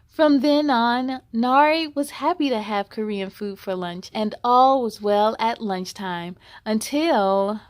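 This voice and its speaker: A woman reading a children's picture book aloud in an expressive, encouraging way, as if speaking directly to young listeners. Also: fairly high pitch, takes it slowly, few pauses. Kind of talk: children's read-aloud storytelling.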